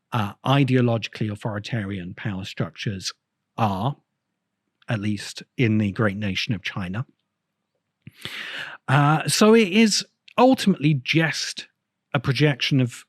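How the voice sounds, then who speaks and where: Male essayist host, mid pitch but very animated melody, dry close sound, halting delivery with stressed key words. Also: no echo. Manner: hesitant, halting phrasing with repeated words and emphatic stresses. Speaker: host of video essay podcast. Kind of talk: video essay commentary, semi-improvised lecture.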